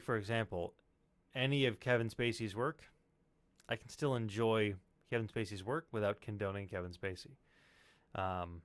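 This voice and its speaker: A man in casual discussion, measured in how he talks, qualifying his points with care. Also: lowish voice, smooth, no echo.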